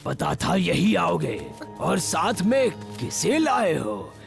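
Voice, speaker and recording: A man voicing a menacing, territorial cartoon leopard with a gravelly voice and taunting manner. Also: high voice, huge pitch swings, music in the background, heavily acted. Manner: slow, taunting, threatening. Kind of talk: animated cartoon dubbing.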